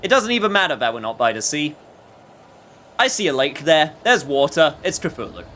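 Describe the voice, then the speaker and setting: A man giving casual, rambling, jokey live commentary while he plays a video game, with animated outbursts. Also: fairly high pitch, normal speed, slight background noise. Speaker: streamer playing a video game.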